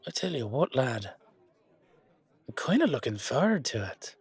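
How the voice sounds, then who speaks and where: An actor playing a jovial, roguish guard in an audio roleplay drama, with folksy, colloquial banter. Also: male voice, mid-range voice, big pitch swings, a little slow, gravelly, husky, no room sound, some chatter behind, exaggerated voice. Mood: cheerful, teasing. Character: jovial, roguish male guard fond of free drink.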